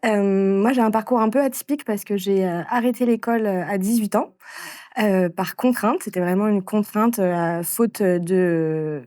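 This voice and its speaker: A woman in her thirties from France, telling her story in a casual interview, with frequent pauses and fillers. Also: medium pitch, darkish tone, no room sound, clean studio sound. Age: 30s. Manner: hesitant, many fillers and restarts. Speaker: interviewee, entrepreneur.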